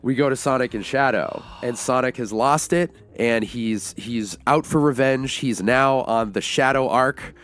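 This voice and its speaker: A man's voice: medium pitch, unhurried, few pauses, a bit bright. A man giving an enthusiastic, informal film review in casual conversation as a co-host. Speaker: co-host of a movie review show.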